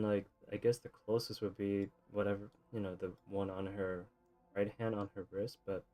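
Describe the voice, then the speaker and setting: Low-voiced man rambling over a game. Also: warm tone. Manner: rambling, thinking aloud. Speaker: streamer playing a video game. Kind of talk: casual gameplay commentary. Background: video game music.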